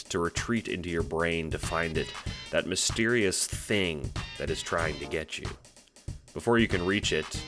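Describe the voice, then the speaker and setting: Male narrator, low-pitched, for a suspenseful audio drama; halting, dramatic delivery over music. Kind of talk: dramatic scripted second-person narration.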